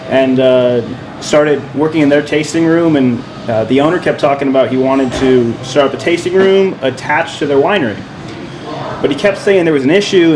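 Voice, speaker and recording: A male winemaker co-hosting a podcast, telling his story in a relaxed, rambling way with many fillers. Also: a little high. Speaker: winemaker and co-host. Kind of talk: casual podcast chat. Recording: steady background noise.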